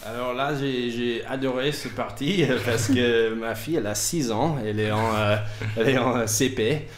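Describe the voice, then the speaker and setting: Halting male radio host, faint background noise. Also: mid-range voice, steady tone. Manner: halting, searching for words with repeated starts. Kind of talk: casual, unscripted radio interview.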